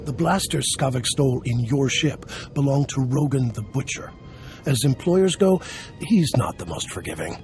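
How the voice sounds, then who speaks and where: A wry, gravelly male underworld dealer voiced by an actor for a sci-fi game, scheming and worldly. His mid-pitched voice creaks over music. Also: lively intonation, normal speed, darkish tone, lightly acted. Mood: grim, businesslike.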